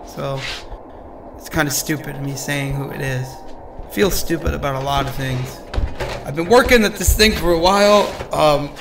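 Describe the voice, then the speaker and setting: An actor playing an anxious, self-doubting ordinary man facing horror in an audio drama, talking haltingly like someone leaving a recorded message. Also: on the high side, gravelly, noisy background. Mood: nervous, resigned. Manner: halting, nervous, conversational.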